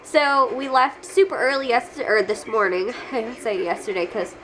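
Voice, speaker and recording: A woman's voice: fairly high pitch, moderate pace, fairly bright tone, low-quality recording. A young woman vlogging at home while sick and congested, talking casually and rambling with frequent laughs. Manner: rambling, chatty, laughs often. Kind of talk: casual chat to camera.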